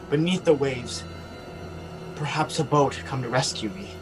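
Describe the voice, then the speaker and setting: A man's voice: mid-range voice, lightly acted. A man playing a haunted shipwreck survivor, narrating a horror tale in a brooding, fragmented way. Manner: slow, brooding, fragmented delivery. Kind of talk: dramatic horror comic narration.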